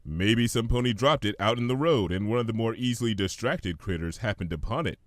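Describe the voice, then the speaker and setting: A man reading a story aloud as scripted, expressive narration. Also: fairly low pitch, brisk, dry recording, studio quality. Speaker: fan fiction reader.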